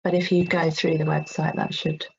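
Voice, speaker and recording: A woman's voice: low voice, little pitch movement, normal speed, creaky. A woman speaking as a guest in an informal online discussion, thoughtful and working out her ideas aloud. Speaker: guest presenter, teacher. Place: online video call. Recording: dull, noisy online call with clicks.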